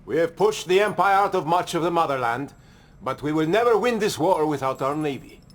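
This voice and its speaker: A man's voice: a little high, expressive, fairly quick, close to an everyday voice. Man playing a curt veteran general. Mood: stern. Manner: curt, scornful. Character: gruff veteran Soviet general, dismissive.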